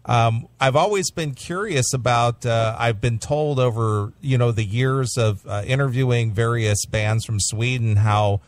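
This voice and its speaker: A man's voice: mid-range voice, no echo. A man hosting a classic metal radio show, interviewing a guest by phone in a rambling way with many fillers. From United States.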